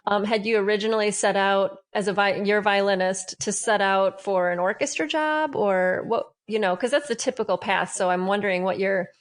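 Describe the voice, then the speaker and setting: A woman's voice: mid-range voice, moderate pace, few pauses. A woman hosting a podcast, reading sponsor copy and interviewing a guest in a friendly, upbeat way. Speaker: podcast host.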